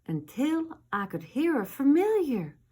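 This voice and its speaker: Woman playing a little zombie girl, eager ballerina, high-pitched, slow theatrical storybook delivery. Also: very animated, darkish tone. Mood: wistful, building. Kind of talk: children's storybook reading.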